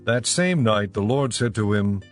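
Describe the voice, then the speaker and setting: Male narrator, fairly low pitch, measured scripted scripture storytelling, faint music behind. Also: expressive. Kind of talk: scripted narration, dramatized audio Bible.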